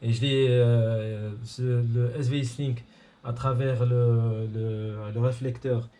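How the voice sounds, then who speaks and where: A man casually explaining technical gear, haltingly and groping for words. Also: medium pitch, flat delivery, dark tone. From Morocco. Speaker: amateur radio hobbyist explaining his setup. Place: indoors at his home radio station.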